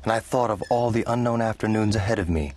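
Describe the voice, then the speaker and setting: A man's voice: lowish voice, fast, background noise, close to an everyday voice. An actor playing an easygoing young cowboy drifter, telling his story in a reflective, folksy way for a western audio drama. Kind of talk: first-person western audio drama narration.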